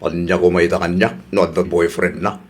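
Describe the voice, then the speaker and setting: A man playing a lovelorn, jealous young ex-boyfriend in an Ilocano Filipino radio comedy, with a husky voice. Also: deep voice, level tone, normal speed. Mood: jealous, defensive. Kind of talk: comedy radio drama acting.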